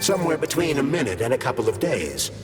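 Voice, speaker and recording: A man's voice: medium pitch, very animated, fast talker, over music, natural voice. A man voicing a polite, learned AI assistant in a video game, speaking formally and precisely. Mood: measured, explanatory. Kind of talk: scripted video game voice acting.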